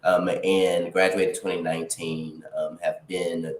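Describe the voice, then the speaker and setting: A man in his thirties with a Texan accent, a guest speaking in an earnest, measured way with many pauses. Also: sits low, little pitch movement, slight background noise. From Texas. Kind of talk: casual interview talk. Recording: echoey room.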